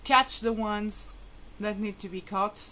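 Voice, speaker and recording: A woman's voice: medium pitch, slow pace, pushed and bright, slight background noise. A woman whose mother tongue is Portuguese, talking casually and hesitantly to viewers in a rambling, informal vlog. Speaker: vlogger.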